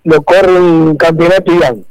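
A middle-aged man from Santa Fe speaks with an Argentine accent in a casual radio interview. His voice sits a little high, and he talks haltingly, with many fillers and repeated words. The sound is dry and close to the mic. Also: fairly even intonation, a little mellow, dry recording, poor audio. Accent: Argentine Spanish. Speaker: rally co-driver guest.

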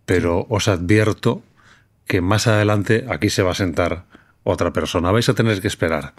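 A middle-aged man hosting a podcast, speaking in a slow, deliberate, reflective way. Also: sits low. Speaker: podcast host. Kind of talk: reflective monologue and interview.

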